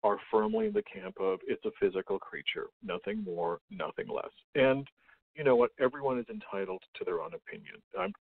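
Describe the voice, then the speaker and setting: A male radio host chatting in an effusive, halting way, repeating himself, over a phone-like line. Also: medium pitch, big pitch swings, gravelly. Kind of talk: casual radio interview. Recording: phone-like narrow band line.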